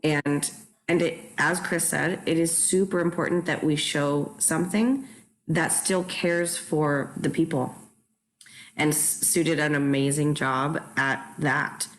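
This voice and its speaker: Low-voiced woman, reflective and emphatic. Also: steady tone, unhurried, low-quality recording. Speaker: theatre director in a panel talk. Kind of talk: earnest panel discussion.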